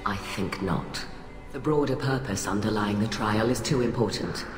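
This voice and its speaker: An actress playing a cold, haughty alien admiral in a video game, speaking in a clipped, formal, condescending way. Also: female voice, a bass voice, moderate pace. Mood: haughty conviction. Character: cold, haughty alien admiral, female, middle-aged scientist, ruthlessly rational. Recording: echoey hall reverb, music behind.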